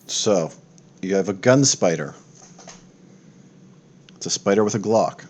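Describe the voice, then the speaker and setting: A man with a lowish voice serves as game master. He narrates a tabletop roleplaying game and checks what the players want to do. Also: expressive, measured pace, darkish tone.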